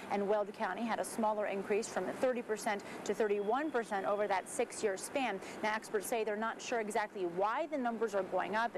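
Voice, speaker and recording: An American woman giving a live television news report from outdoors on a city street. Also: medium pitch. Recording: clear outdoor street noise behind.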